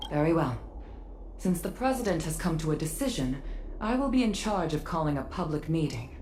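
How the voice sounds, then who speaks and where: A woman playing a composed, diplomatic senior commission leader in a video game, speaking in a measured, formal, polite way. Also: low-pitched, big pitch swings, a little hiss or hum. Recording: reverberant, under loud background music. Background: loud music.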